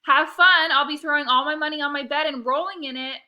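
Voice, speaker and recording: A woman reading a children's book aloud, voicing a cocky, defensive young boy in an expressive way. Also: high voice, a little fast, pitched above the performer's own voice. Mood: taunting. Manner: expressive, exclamatory in dialogue. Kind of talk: first-person children's book read-aloud.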